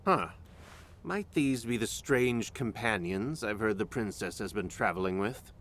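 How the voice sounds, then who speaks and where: A man's voice: fairly low pitch, animated, a little slow, fairly bright tone, slight background noise. A man playing a stern, cautious royal official in a video game, speaking in a formal, guarded, courtly way. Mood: guarded, polite. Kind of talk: scripted video game acting.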